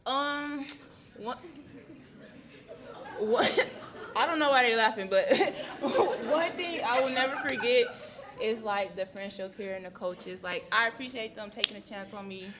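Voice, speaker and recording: A woman's voice: fairly high pitch, unhurried, pauses often. A young woman from Texas, a college athlete, giving an informal speech at a banquet. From Houston, USA. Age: young adult. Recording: noisy crowd at live banquet.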